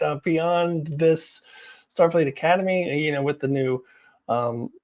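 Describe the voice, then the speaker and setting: A woman's voice: low-pitched, darkish tone. A woman hosting a vlog, giving casual opinion commentary in a chatty way, thinking aloud as she goes. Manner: chatty, thinking aloud, laughs through remarks. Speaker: host of a fan commentary vlog.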